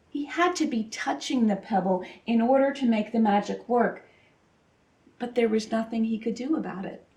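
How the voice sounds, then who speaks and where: A woman with a fairly high voice reads a children's story aloud in a very echoey room. She phrases it expressively and deliberately, pausing for emphasis, a little way from the microphone. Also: a little mellow. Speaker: storybook reader. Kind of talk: expressive children's picture-book read-aloud. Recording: roomy echo, distant microphone.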